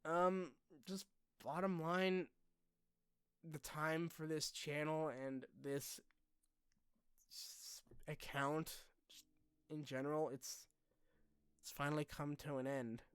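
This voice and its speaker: A young man talking casually and unscripted like a YouTuber to followers, candid, self-deprecating and halting, with informal phrasing. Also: fairly high pitch, level tone, smooth, no echo, studio quality. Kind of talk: casual unscripted vlog talk.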